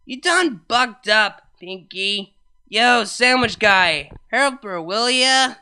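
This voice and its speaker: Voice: medium pitch, expressive, slow pace, pushed and bright, clear, resonant tone, voice pushed up. A performer voicing a brash, drunken female party pony who talks in slangy, slurred exclamations. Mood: dismayed.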